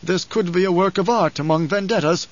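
A man voicing a loyal old advisor in an audiobook, grave and deferential, with a measured, formal, hesitant delivery. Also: sits high, muffled recording, voice pushed up, natural voice. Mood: insistent. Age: older.

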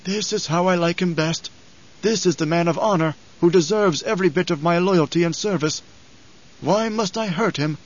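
Man voicing a grave old spymaster advisor, high-pitched. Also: normal speed, lo-fi sound. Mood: hesitant, troubled. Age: older.

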